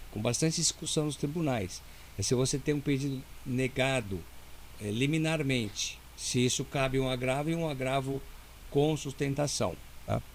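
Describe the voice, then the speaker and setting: Brazilian lawyer explaining things patiently. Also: male voice, medium pitch, a little hiss or hum. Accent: Brazilian Portuguese. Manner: didactic, explains step by step with many "né?". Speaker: lawyer guest. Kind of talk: casual interview talk.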